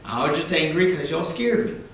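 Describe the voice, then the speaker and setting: A man's voice: on the high side, lively intonation, brisk, close to an everyday voice. A man voicing a stern but loving uncle in a read-aloud storybook. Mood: scolding. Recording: echoey room, distant mic, faint hiss.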